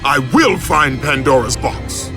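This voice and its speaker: An actor playing a furious, vengeful Greek warrior and army commander in a video game, with a booming, menacing, declamatory delivery. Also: male voice, animated, measured pace, over music. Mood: defiant, vengeful. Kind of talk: dramatic video game acting.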